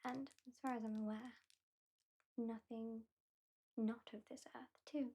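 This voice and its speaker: A woman with a British accent playing an aloof, sardonic vampire hypnotist in a soft, whispery, deliberate ASMR roleplay. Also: fairly high pitch, fairly even intonation, breathy edge. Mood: dry, matter-of-fact. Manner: slow, deliberate, lightly dismissive.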